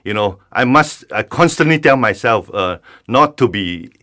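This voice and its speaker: A man who is a pastor speaks in a studio interview with a low voice. He feels his way through each thought, stopping, repeating words and starting over. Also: animated, sharp tone. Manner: halting, many restarts and repeated words. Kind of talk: conversational interview.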